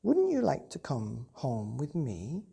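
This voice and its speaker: A woman's voice: very deep voice, huge pitch swings, takes it slowly, gravelly, dark tone, breathy edge, exaggerated voice. A woman voicing an eager, kind little boy in a bedtime story, earnest in manner. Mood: kind, inviting.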